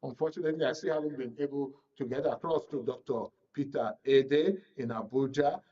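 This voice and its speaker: A man with a Nigerian accent hosting a current affairs radio show, speaking deliberately and repeating phrases for emphasis. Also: medium pitch, dark tone. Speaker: radio talk show host. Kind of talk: live current affairs commentary. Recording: dull, lo-fi broadcast audio.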